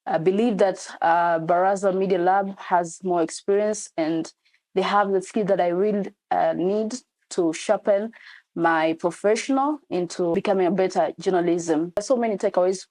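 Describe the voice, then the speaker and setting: A woman's voice: on the low side, moderate pace, a little mellow. A young woman from Tanzania, a journalist and event host, introducing herself confidently in a testimonial. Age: young adult. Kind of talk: testimonial interview.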